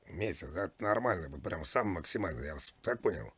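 Husky, very deep-voiced man, pushy prank caller. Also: expressive, fast, a bit bright, no echo. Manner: pushy, nagging, repeating himself. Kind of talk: deadpan prank phone call as a demanding customer.

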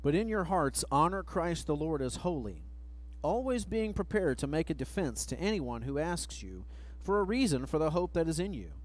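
A middle-aged man preaching a sermon at a church pulpit mic, reading scripture slowly and letting phrase ends trail off. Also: fairly high pitch, big pitch swings, poor audio. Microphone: pulpit microphone, far camera.

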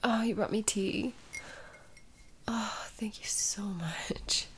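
A woman playing a sick, sleepy, sweet and whiny girlfriend in an intimate ASMR roleplay, breathy and often dropping into a whisper, with drowsy sighs. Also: medium pitch, fairly bright tone. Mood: weary, affectionate. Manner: drowsy, drawn-out, sighing, intimate.

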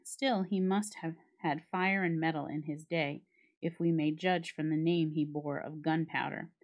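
A woman giving a dramatic reading of a classic story, with measured, expressive storytelling. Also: low-pitched. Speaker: library staff reader.

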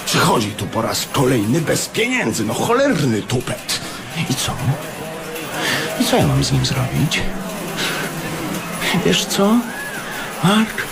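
A man's voice: medium pitch, huge pitch swings, creaky, music in the background, exaggerated voice. A man acting as a menacing, mocking loan shark in a radio drama, sneering and drawing out his taunting questions. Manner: sneering, drawn-out, taunting questions with bursts of raised voice.

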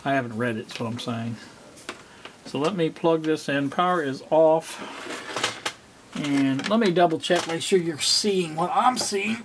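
A middle-aged man giving a casual, unscripted demonstration, halting and rambling as he thinks aloud. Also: fairly high pitch, background noise. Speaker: hobbyist presenter. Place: indoor home workspace.

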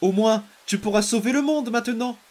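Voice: medium pitch, fairly quick, darkish tone, close to an everyday voice. An actor voicing a vicious, obsessive young demon boy in a dramatic comic dub, taunting and theatrical. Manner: menacing, taunting, theatrical outbursts and mutters.

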